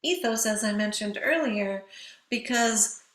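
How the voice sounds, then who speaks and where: A woman's voice: mid-range voice, a little slow, fairly bright tone, echoey room. A woman giving an instructional writing lecture in a didactic way, posing questions to listeners and answering them herself.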